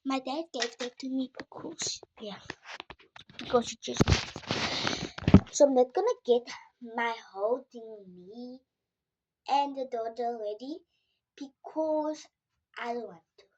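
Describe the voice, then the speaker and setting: A woman with a South African Afrikaans accent, a native Afrikaans speaker, chatting casually to viewers in a halting, simple way. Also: on the high side, a little mellow, breathy edge, lo-fi sound. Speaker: vlogger. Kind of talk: casual vlog chat to viewers.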